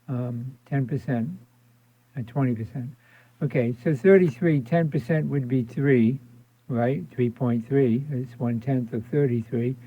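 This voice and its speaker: A man's voice: mid-range voice, no room sound. A man giving a casual hands-on tutorial, thinking aloud and repeating his points as he explains. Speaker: electronics repair instructor. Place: indoor electronics workbench. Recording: thin phone-like band-limited sound.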